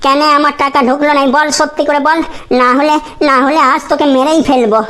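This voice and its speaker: Woman acting a village wife, very high voice, rural West Bengal accent, hot-tempered, melodramatic scolding, comedy cartoon. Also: fairly even intonation, fairly quick, a bit bright. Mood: threatening fury. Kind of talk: exaggerated comedy cartoon dialogue.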